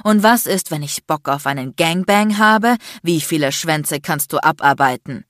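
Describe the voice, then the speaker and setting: A woman voicing an icy, furious, sarcastic man in an audiobook, hissing and shouting with biting, threatening bluntness. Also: sits low, moderate pace, dry recording, studio quality. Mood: cruel, aggressive. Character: enraged, icy, sarcastic, domineering adult man, a lawyer. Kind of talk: dramatic audiobook character acting.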